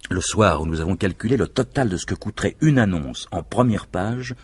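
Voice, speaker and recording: An actor playing a self-assured, scheming husband in a vintage French radio mystery, speaking in persuasive, emphatic speeches with a husky voice. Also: male voice, deep voice, expressive, a little fast. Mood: eager, boastful.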